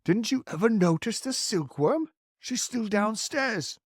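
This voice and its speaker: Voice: mid-range voice, animated, husky, no echo, clean studio sound, pitched above the performer's own voice. A storybook reader voicing an old, wise green grasshopper who speaks sharply. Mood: eager. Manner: brisk, sharp.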